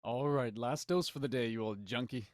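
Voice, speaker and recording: A man's voice: medium pitch, normal speed, dry recording, clean studio sound, close to an everyday voice. A man playing a bitter, teasing Victorian doctor in a murder mystery audio drama. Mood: breezy teasing.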